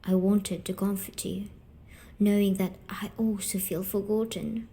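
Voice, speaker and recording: Slow, gentle female demon acted by a woman. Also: medium pitch, level tone, close to an everyday voice. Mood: pleading, commanding. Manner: soft, deliberate, breathy half-whispers. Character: gentle, wounded female sleep paralysis demon, coaxing. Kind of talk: slow ASMR storytelling roleplay.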